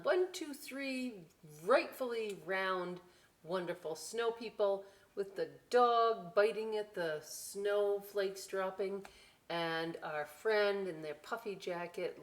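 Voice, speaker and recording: A woman reading aloud to children as a story time host, expressive and drawn out, with chatty conversational asides. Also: mid-range voice. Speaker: library story time reader.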